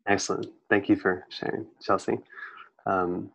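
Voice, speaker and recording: A man's voice: lowish voice, little pitch movement, unhurried, vocal fry, a bit bright, husky, highs cut off. A man leading a team meeting on a video call in a casual way. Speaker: meeting leader, team coordinator. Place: indoors, on a group video call.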